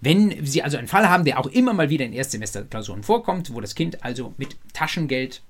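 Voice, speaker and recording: A man's voice: medium pitch, lively intonation, fast talker. A male university lecturer with a mid-range voice teaches law. He speaks freely rather than reading, explains points in colloquial terms and addresses his students directly.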